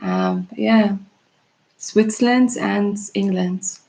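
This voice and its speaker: A woman's voice: mid-range voice, steady tone, takes it slowly, vocal fry, mellow, rough recording. A Russian-born woman, a native Russian speaker, who works as a tarot reader and chats with viewers on a live stream. She laughs often and calls them darling.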